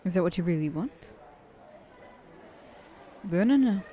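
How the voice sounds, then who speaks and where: A woman plays an ancient, very rich vampire lady in an ASMR roleplay. Her voice is soft and mellow, with genteel, haughty courtesy. She speaks straight to the listener over some background noise. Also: on the low side, animated, a little slow. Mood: generous, snobbish. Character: thousand-year-old wealthy female vampire, haughty yet courteous.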